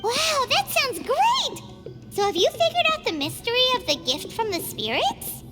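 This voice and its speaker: A woman's voice: very high-pitched, over music, heavily acted. A woman voicing a tiny, girlish game companion who is curious, chatty and blunt, full of questions. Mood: surprised. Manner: excitable, chatty, quick to question.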